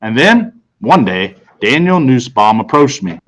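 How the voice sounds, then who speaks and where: A man's voice: medium pitch, big pitch swings, a little slow, fairly bright tone. A man reading a first-person storybook narration aloud. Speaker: audiobook reader.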